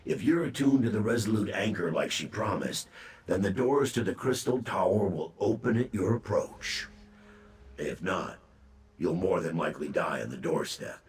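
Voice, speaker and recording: A cocky talking hound from a demon realm. The voice is lowish and swings around a lot, brash and grumbling, with wry bluntness. It rings with echo in a fantasy game. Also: male voice, animated, moderate pace. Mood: wry, blunt. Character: cocky, grumbling male demon hound serving a trickster master. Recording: echoing, faint game ambience noise.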